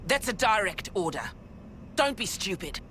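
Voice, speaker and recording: An actress playing a stern, protective young soldier in a video game, speaking bluntly and with command. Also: female voice, medium pitch, big pitch swings, moderate pace, bright, forceful tone, a little hiss or hum.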